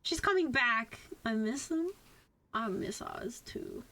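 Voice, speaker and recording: A woman's voice: fairly high pitch, lively intonation, slow pace, bright, forceful tone. A young woman livestreamer chatting casually, playful and informal, swearing freely. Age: young adult. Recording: music playing behind the voice. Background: music.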